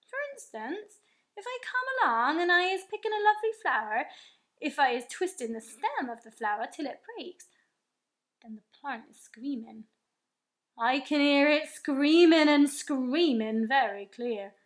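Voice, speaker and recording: A woman voicing a big, gentle old male giant who talks earnestly in broken grammar. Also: high-pitched, unhurried, pitched above the performer's own voice. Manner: broken grammar, folksy, animated.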